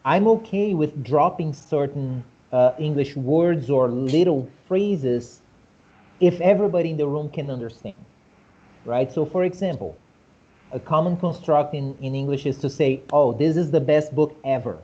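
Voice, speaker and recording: A man's voice: moderate pace, warm tone. A man from Brazil with a Brazilian Portuguese accent, whose first language is Portuguese, explaining points animatedly in a casual discussion and often asking rhetorical questions. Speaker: host. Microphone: video call. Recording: muffled low-fidelity video call audio.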